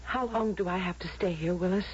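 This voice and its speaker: An actress in an old radio drama playing an unhappy, defiant, lovesick young woman, plaintive and halting. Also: fairly low pitch, slightly rough. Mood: defiant, upset. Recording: dull lo-fi vintage radio drama recording.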